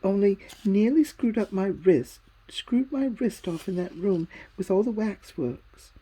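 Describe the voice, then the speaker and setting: A woman voicing a prim, sharp-tongued young English girl in a storybook reading, cool and sarcastic. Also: mid-range voice, expressive, measured pace, husky, pitched above the performer's own voice, poor audio.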